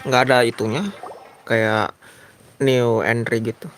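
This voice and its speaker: A man's voice: medium pitch, little pitch movement, unhurried. A man from Indonesia giving casual live gameplay commentary as a game streamer. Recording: loud game music behind voice. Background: loud game soundtrack music.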